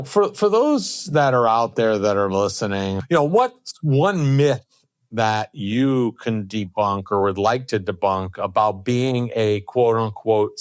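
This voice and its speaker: A man's voice: on the low side, dry recording, clean studio sound. A man hosting a conversational interview, wordy and circling back to rephrase his questions. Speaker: podcast host.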